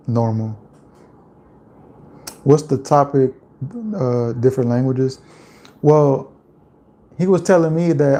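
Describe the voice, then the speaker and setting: A man from Louisiana with a Louisiana accent, chatting casually on a livestream and reading out viewer comments in a relaxed, rambling way. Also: medium pitch. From Louisiana, USA. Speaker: livestream host.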